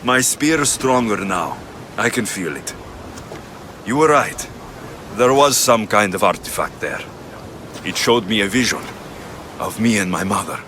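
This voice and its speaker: Low-voiced, blunt Greek mercenary. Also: male voice, expressive, measured pace, pauses often, background noise. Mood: awed. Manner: terse, direct. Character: blunt, proud young male Greek mercenary hero. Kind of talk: dramatic video game acting.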